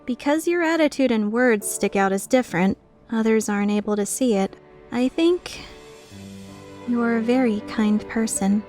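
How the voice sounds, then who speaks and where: A woman playing an earnest, timid, tender-hearted young woman in a dubbed visual novel, speaking in a measured way. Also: fairly high pitch, a little mellow, faint music behind, close to an everyday voice. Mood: touched, shy. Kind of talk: dubbed visual novel acting with inner narration.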